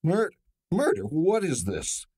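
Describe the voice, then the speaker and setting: A man's voice: mid-range voice, expressive, a little slow, no room sound, studio quality. Man acting a flustered, swooping radio crook. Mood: alarmed. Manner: stammering, nervous, slangy. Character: shifty, flustered small-time forger, evasive streetwise man. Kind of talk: old-time radio drama acting.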